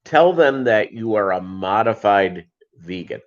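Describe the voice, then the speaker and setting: A man's voice: lowish voice, lively intonation, unhurried. Man rambling casually on a muffled livestream. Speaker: livestream host. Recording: dull lo-fi livestream audio.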